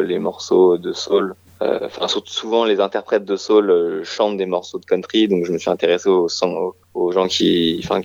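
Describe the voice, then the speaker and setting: Low, gravelly, hesitant man. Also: a little mellow, no echo. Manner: hesitant, many fillers and restarts. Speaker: musician guest. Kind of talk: radio interview answers. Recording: slightly dull radio recording.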